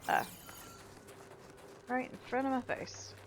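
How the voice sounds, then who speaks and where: A woman's voice: sits high, expressive, slow, background noise. A woman giving casual gameplay commentary, informal and chatty, thinking aloud as she plays. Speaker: game streamer.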